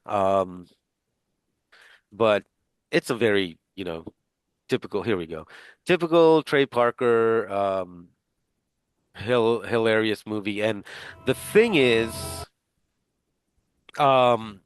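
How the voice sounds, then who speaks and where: A man's voice: lowish voice, lively intonation, takes it slowly, long pauses, clean tone, no echo. A middle-aged man teaching a class over a video call, talking casually with lots of fillers and restarts. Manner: rambling, many fillers and restarts. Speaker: instructor.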